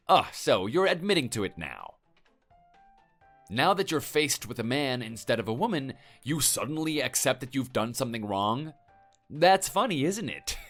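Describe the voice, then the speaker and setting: A man's voice: medium pitch, fairly bright tone. A man playing a stern, threatening husband who lectures and intimidates his neighbor. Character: stern, menacing husband defending his wife.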